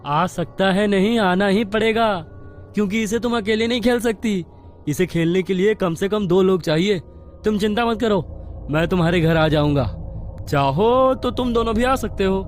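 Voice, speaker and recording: Man acting high-voiced, insistent college lad. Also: moderate pace, faint music behind, close to an everyday voice. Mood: commanding. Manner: instructive, insistent. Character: young male college student, bossy, serious about spirit games. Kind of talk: audio horror story acting.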